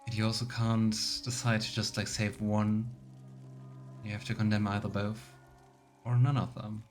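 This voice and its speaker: A man's voice: lowish voice, flat delivery, normal speed, faint music behind. A man hosting a live let's play, chatting casually and thinking aloud as he comments. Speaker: streamer, let's play host.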